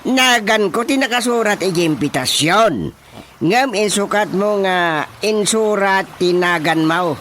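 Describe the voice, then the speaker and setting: Sharp, irate village chairman. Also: sits high, animated, unhurried, slight background noise. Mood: indignant. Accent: Ilocano. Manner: scolding, exclaiming. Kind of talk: Ilocano radio comedy drama acting.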